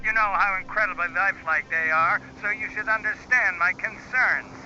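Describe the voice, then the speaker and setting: A man's voice: high-pitched, normal speed, pushed and bright. A man playing a paranoid, eccentric scientist in a video game, suspicious and mocking in manner. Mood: suspicious, testing. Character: paranoid, taunting, eccentric male weapons scientist in hiding. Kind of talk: dramatic game voice acting. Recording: dull audio with music behind.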